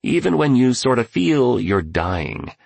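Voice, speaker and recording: A man voicing an eccentric, grandly encouraging billionaire mentor in an audiobook, emphatic and gravelly. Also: mid-range voice, sing-song, unhurried, darkish tone, no echo, pitched above the performer's own voice, heavily acted. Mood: earnest, inspiring. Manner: emphatic, exclamatory, preaching.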